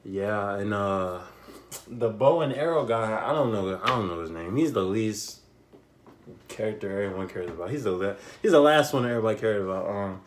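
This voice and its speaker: A young man giving a casual, unscripted movie recap, telling it in an animated way with repeated phrases and slangy asides. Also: fairly low pitch, moderate pace, a little hiss or hum. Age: young adult. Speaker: YouTube film reviewer. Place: small bedroom, indoors.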